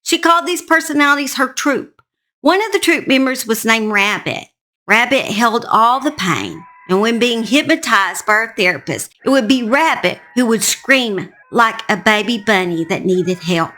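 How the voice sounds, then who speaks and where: Southern us lady telling true crime stories, kinda high bright voice, earnest and serious. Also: lively intonation, moderate pace. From Southern United States. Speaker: YouTube true crime host. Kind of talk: true crime storytelling narration.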